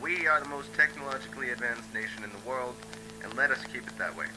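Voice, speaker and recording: A man's voice: medium pitch, a little fast, noisy background, lightly acted. A man playing a formal government announcer who delivers a solemn presidential address for an analog horror video.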